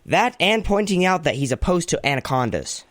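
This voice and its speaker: An eager yes-man of a campaign staffer played by a man in a radio comedy. His voice sits fairly high and moves animatedly, with a dry studio sound. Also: sing-song, moderate pace, a bit bright, no room sound. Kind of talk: comedy radio theater acting.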